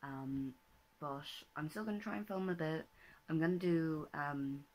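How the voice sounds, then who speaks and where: A hoarse young woman, chatting casually to camera in her bedroom with lots of ums and self-deprecating asides. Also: low-pitched, a little slow, darkish tone. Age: 20s. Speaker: vlogger. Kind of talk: casual vlog chat. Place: small bedroom indoors. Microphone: laptop or phone camera at desk.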